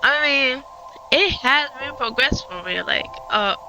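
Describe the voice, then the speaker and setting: A woman's voice: high voice, animated, measured pace, bright, forceful tone, poor audio. Puerto rican girl streaming an indie game, spanish accent, lots of likes and uhs, music playing in the back. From Puerto Rico. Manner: rambling, many fillers and restarts. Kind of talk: casual let's-play commentary.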